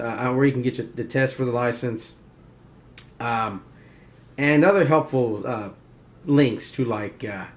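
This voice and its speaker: A man hosting a preparedness video, explaining in a casual, unscripted, folksy way, rambling and often checking in with "right?". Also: mid-range voice. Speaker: survival and preparedness video host.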